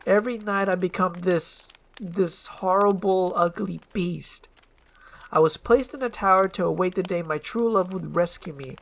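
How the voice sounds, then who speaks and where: A woman voicing an earnest, anxious young princess who hides an ogre form, in an animated film script. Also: on the low side, moderate pace, creaky, pitched above the performer's own voice. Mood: sad, earnest.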